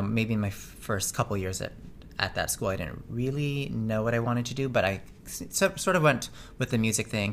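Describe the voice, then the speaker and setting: A man's voice: on the low side, a bit bright. Canadian man chatting over background noise. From Toronto, Canada. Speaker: interview guest, fashion designer. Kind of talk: casual chat, recounting personal history.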